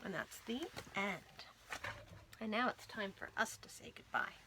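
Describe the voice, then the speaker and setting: Bright-voiced woman reading to children, unhurried. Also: medium pitch, slight background noise, poor audio. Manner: expressive, enunciated delivery addressed to young children. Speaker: children's storytime host at a library. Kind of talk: storybook and nursery rhyme read-aloud for children.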